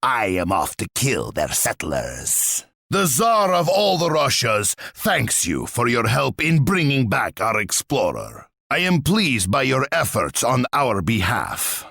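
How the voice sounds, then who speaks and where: An imperious, scheming tsar from a strategy game, full of menace and sardonic pomp. The voice is gravelly with a hard, forceful edge, and it swings wildly in pitch as he savours each taunt. The recording is close and dry. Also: huge pitch swings, takes it slowly, few pauses, no echo, heavily acted. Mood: smug, imperious. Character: scheming, imperious, wrathful Russian tsar, gloating warlord. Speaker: video game voice actor.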